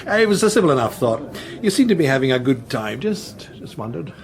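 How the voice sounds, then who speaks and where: An actor playing a nosy gossip who teases and insinuates with feigned innocence. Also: male voice, mid-range voice, very animated, brisk. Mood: slyly needling. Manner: sly, teasing, feigned innocence. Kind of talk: British political drama acting.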